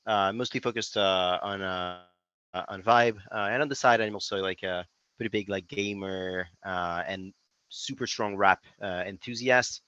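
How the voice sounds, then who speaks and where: Male guest, French accent, low but very bright voice, conversational executive explaining things. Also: normal speed, smooth, no echo, highs cut off. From France. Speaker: interview guest, company CEO. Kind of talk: conversational interview answers.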